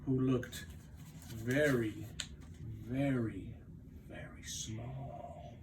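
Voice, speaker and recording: A man reading aloud to young children as a storytime teacher, expressive, with dramatic pauses and stretched emphasis. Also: medium pitch, recorded from a distance, noisy background.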